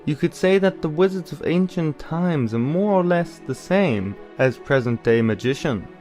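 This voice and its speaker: Man acting a calm, bookish older brother. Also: on the high side, soft background music, lightly acted. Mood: explanatory. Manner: measured, explanatory, slightly formal. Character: calm, caring, bookish older brother who explains things. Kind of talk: anime-style audio drama acting.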